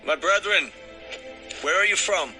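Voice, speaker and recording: A man's voice: high voice, unhurried, sharp tone, faint music behind, exaggerated voice. A man playing an earnest young shepherd and suitor in a dramatized scripture production. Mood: eager, inquiring.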